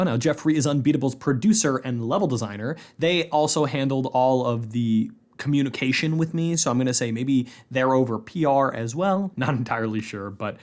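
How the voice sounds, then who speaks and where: A man hosting a podcast in a casual, upbeat way, talking informally to listeners. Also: mid-range voice. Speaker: podcast host.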